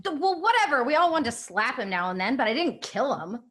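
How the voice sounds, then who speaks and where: An actress playing an indignant, easily flustered young activist in a comedy table read, defensive and sputtering. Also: female voice, high-pitched, big pitch swings, fairly quick, fairly bright tone, full character voice.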